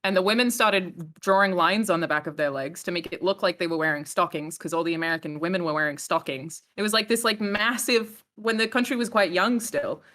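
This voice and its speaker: A woman's voice: lowish voice, fairly quick, hardly any pauses, a bit bright. A woman with an Australian accent, joking and self-deprecating in a casual group chat. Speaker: guest.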